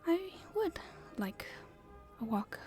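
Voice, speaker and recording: A woman playing a proper young princess in an ASMR roleplay, speaking formally and hesitantly in a soft, breathy half-whisper. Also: a little high, sing-song, slow pace, soft background music. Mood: apologetic, uneasy. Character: proper young princess at her debut, stifled, secretly rebellious.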